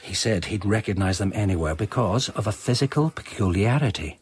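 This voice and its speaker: A man's voice: fairly low pitch, expressive, brisk, slightly rough. An actor playing an earnest, persistent ex-serviceman and amateur sleuth, courteous but probing, in a radio drama. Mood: urgent, earnest. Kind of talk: British radio drama acting.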